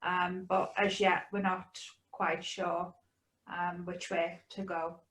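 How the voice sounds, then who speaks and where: A woman's voice: lowish voice, little pitch movement, slow, a bit bright. A woman, a mother being interviewed, talking in a casual, understated way in an echoey room. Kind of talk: casual conversational recollection.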